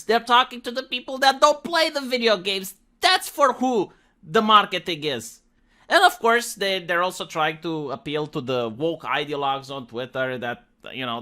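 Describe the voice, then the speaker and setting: Bright, higher-pitched man giving opinionated commentary. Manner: emphatic, critical, sarcastic. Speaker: video commentator. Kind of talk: opinionated video commentary, conversational.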